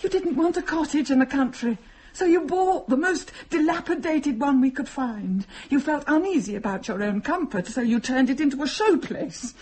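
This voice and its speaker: An actress playing a coolly perceptive, reproving wife in a radio drama, speaking in a measured, analytical way. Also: female voice, high-pitched, lively intonation, darkish tone. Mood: cool reproach. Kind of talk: dramatic radio play acting.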